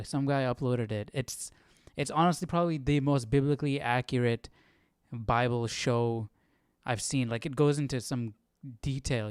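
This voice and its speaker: A man's voice: medium pitch, clean tone, no echo, studio quality. A man hosting a Bible study livestream, casually thinking aloud in a questioning, hesitant way.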